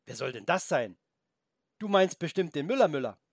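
Man playing an earnest, insistent storybook boy, raised pitch. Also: big pitch swings, normal speed, gravelly, no echo, studio quality, heavily acted. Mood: puzzled. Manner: emphatic, correcting. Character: earnest, insistent, easily exasperated young boy. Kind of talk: children's storybook character voice.

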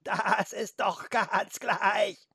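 A grumpy storybook nanny goat, acted out by a man, bleating in a very high voice. She drags her words out slowly and complains defiantly. She is muddled and will not budge. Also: steady tone, vocal fry, pushed and bright, breathy edge, no room sound, studio quality, exaggerated voice. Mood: stubborn. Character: stubborn, muddled, grumpy female talking goat. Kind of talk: children's storybook character voice.

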